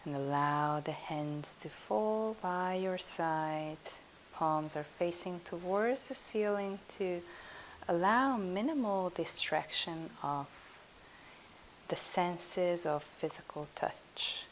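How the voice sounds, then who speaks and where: A woman leading a guided yoga nidra meditation as a teacher, in a soothing, hushed, unhurried manner. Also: on the low side, low-quality recording. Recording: steady hiss.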